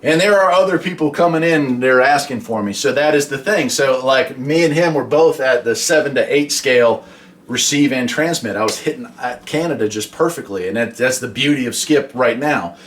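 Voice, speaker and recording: A man's voice: mid-range voice, moderate pace. A man from the United States talking casually and explaining his radio hobby in a chatty, folksy way. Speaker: hobby vlogger and CB radio operator. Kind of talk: casual explainer talk with radio call demonstrations.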